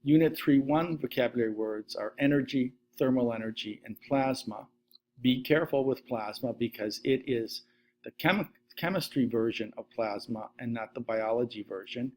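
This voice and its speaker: A middle-aged man, a science teacher giving a recorded slide lesson at his desk webcam, steadily reading through lists. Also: medium pitch. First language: Latvian. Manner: steady list-reading delivery.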